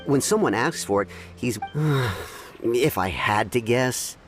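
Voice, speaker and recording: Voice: mid-range voice, expressive, slow, faint music behind. An actor voicing a thoughtful, hesitant townsperson in a video game, weighing things up as they speak. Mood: pondering. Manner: hesitant, considered. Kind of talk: video game character acting.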